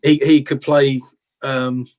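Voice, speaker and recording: A middle-aged man with an English accent chatting casually as an interview guest, reminiscing in an informal, halting, self-effacing way. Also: mid-range voice, little pitch movement, darkish tone. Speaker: interview guest, blues rock guitarist.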